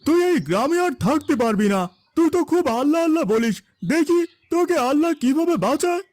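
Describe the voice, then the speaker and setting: A man voicing a hostile, scornful villager in a moral cartoon, harsh and hurried. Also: very high voice, animated, a little mellow, no room sound. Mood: taunting.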